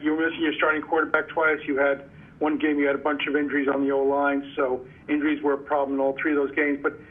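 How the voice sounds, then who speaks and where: Halting higher-pitched man on tinny phone. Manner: halting, piecemeal phrasing. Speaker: reporter asking questions. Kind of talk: press conference questioning. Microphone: phone call. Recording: tinny telephone line.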